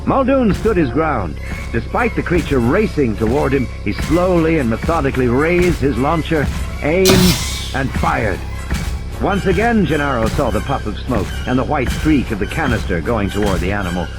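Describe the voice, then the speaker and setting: A man's voice: medium pitch, expressive, moderate pace. A man reading scripted, dramatic story narration as an audiobook narrator. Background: music and sound effects.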